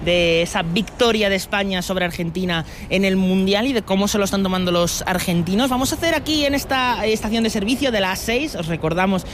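A man's voice: sits high, brisk, few pauses, fairly bright tone. Male street reporter, Spain accent, friendly informal patter, light outdoor vehicle noise. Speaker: roving reporter. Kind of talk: live vox pop reporting. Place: outdoors at a petrol station.

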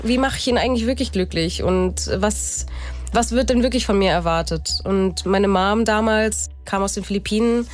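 A woman's voice: medium pitch, moderate pace. A young woman from Germany, a guest on a radio talk show, speaking candidly and reflectively with many restarts and fillers. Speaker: guest, parkour athlete.

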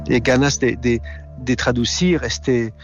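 Halting Swiss man speaking Spanish. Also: medium pitch, fairly even intonation, muffled recording, over music. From Switzerland. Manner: halting non-native speech with many restarts. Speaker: guest filmmaker. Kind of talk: remote interview.

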